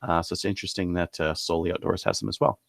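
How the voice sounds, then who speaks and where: A man co-hosting a podcast, casually running through products in a halting, thinking-aloud way. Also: low voice, level tone, gravelly, dry recording, clean studio sound. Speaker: podcast co-host presenting gun deals.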